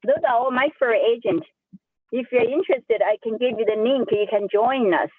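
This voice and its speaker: An enthusiastic woman with a Chinese accent speaks on a group coaching call, repeating herself as she keeps her energy up. Her voice sits a little high and comes through a tinny, dry phone line. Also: moderate pace, slightly rough, no echo. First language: Sichuan dialect. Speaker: real estate agent.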